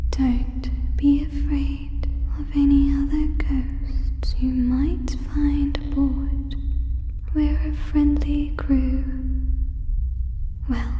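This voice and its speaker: A woman voicing a friendly, curious alien ghost scientist in a breathy whispered ASMR sci-fi roleplay, with clinical, precise, formal wording. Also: high voice, warm tone. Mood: gentle, friendly. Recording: echoey cinematic hall reverb.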